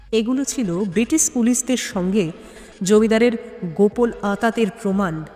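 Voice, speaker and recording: Woman narrating mystery in hall reverb. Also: medium pitch. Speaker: audiobook narrator. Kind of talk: dramatic scripted mystery narration.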